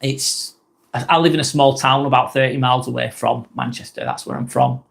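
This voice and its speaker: Man, Lancashire accent, live vlog host, rambling, blunt, casual swearing, mid pitch. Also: very animated, brisk, vocal fry, fairly bright tone. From northwest England. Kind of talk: casual live-stream talk.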